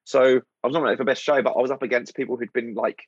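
A man's voice: medium pitch, fairly even intonation, racing, breathy edge, no room sound, muffled recording. A British man in his thirties, a stand-up comedian chatting casually as an interview guest, joking dryly at his own expense. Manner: self-deprecating, dry joking.

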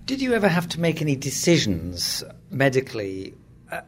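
A man interviewing someone conversationally, putting articulate, probing questions in a measured way. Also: medium pitch, wildly swooping pitch, a little hiss or hum. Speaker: interviewer, sports journalist.

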